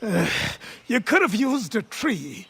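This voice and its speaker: An actor playing a cautious, dry-witted old mentor in a game cutscene, with a husky, gravelly voice. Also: male voice, high voice, big pitch swings, slow pace, sharp tone, heavily acted. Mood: wry. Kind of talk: dramatic game cutscene acting.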